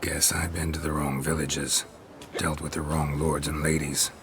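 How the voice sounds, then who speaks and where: Worn-out monster hunter, super low voice, clipped and dry. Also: male voice, flat delivery, normal speed, noisy background. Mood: terse. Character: weary gruff male monster hunter.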